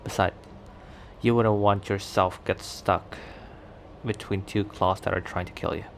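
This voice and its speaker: Low, slow, cocky werewolf played by a man. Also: steady tone, vocal fry, slight background noise. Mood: matter-of-fact, guarded. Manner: teasing, chuckling between phrases. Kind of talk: ASMR romantic roleplay.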